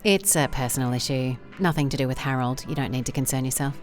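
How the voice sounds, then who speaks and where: An actress playing a driven, awkward private investigator in an audio drama, speaking in a formal, wordy, dryly self-deprecating way. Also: female voice, extremely low pitch, fast, faint music behind.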